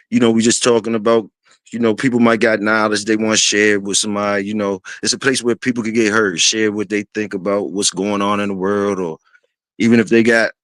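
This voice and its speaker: Laid-back man in his fifties, low voice. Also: no echo. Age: 50s. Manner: laid-back, rambling, many fillers and restarts; clears throat often. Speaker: host. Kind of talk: casual reflective talk.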